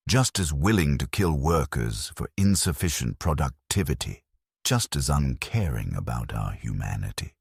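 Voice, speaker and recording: A man narrating a scripted, atmospheric story in a somber, grave, gravelly voice. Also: very deep voice, no echo, studio quality.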